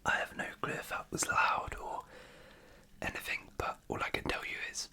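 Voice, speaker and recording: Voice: moderate pace, sharp tone. A British ASMR host whispering casual, unscripted chat in a hesitant, rambling way. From United Kingdom.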